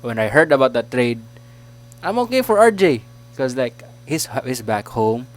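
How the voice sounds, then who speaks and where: A man's voice: mid-range voice, sing-song, normal speed. Chatty young Filipino man hosting. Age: about 20. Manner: chatty, laughs often, trails off. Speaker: podcast host. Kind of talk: casual sports podcast chat.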